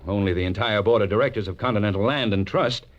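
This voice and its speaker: A man's voice: on the low side, quick pace, dull audio, lightly acted. An actor playing an anxious, ambitious businessman in his thirties, hesitant and urgent, in a 1940s radio drama. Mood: tense, urgent. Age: 30s. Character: anxious, ambitious young businessman in a blackmail jam.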